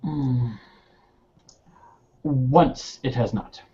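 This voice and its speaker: A man acting out a guarded male sentry in a roleplaying game. He is terse and evasive, with a medium-pitched voice. The audio is muffled and lo-fi. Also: drawn-out words, warm tone. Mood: guarded, calm.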